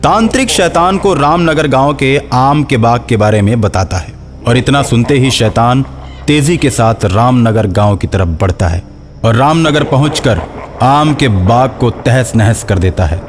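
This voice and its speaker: A man tells a scripted tale as narrator. His voice sits at a medium pitch, with soft music playing behind it. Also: animated, a little slow. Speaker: storyteller. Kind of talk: scripted Hindi story narration. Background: faint music.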